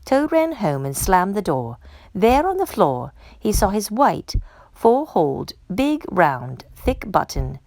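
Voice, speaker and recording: A woman's voice: medium pitch, slow, dry recording. A woman reading a children's story aloud expressively, acting out the dialogue as she goes. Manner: animated, acts out character dialogue. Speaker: storytime reader.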